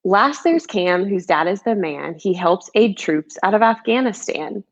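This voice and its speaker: A woman's voice: mid-range voice, lively intonation, measured pace, highs cut off. A woman who is a children's book author, speaking in a storybook read-aloud or chatty setting. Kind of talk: storybook read-aloud, rhyming, then casual chat.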